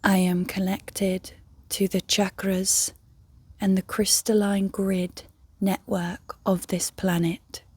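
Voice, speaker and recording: Soft breathy lady doing guided meditation, really slow and even, almost whispering, super dry close sound. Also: mid-range voice, dry recording. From England. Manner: gentle, soothing, measured with long pauses. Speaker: meditation guide, yoga teacher.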